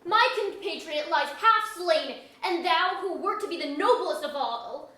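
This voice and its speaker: A woman's voice: very high-pitched, lively intonation, a little slow, sharp tone, full character voice. A woman playing an aggrieved, defiant commoner in an old-style verse drama, declaiming with passion. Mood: bitter defiance. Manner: declamatory, impassioned. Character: aggrieved, defiant commoner pleading, then cursing the crown. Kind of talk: staged reading of pseudo-Elizabethan verse drama. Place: museum auditorium, live reading. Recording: echoey auditorium, distant mic.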